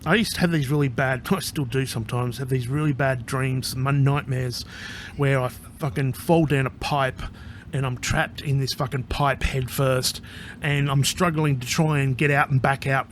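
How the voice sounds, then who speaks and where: A man's voice: medium pitch, slight background noise. A man talking straight to camera in a casual vlog, rambling, candid and profane, with an anxious, agitated edge. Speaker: vlogger.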